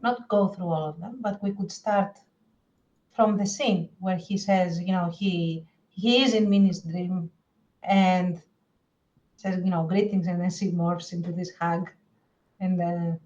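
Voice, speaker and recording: A woman talks slowly and hesitantly in casual podcast conversation, pausing to find her words. The recording is a little dull and lo-fi. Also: fairly low pitch, fairly even intonation, darkish tone, recorded from a distance. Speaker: podcast participant, comic creator.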